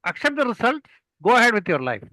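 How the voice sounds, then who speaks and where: A man's voice: very high-pitched, big pitch swings, fast talker, bright, forceful tone, dry recording. An older man with an Indian accent, speaking as a mentor in an interview and telling anecdotes in an emphatic storytelling way. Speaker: public speaking mentor and guest.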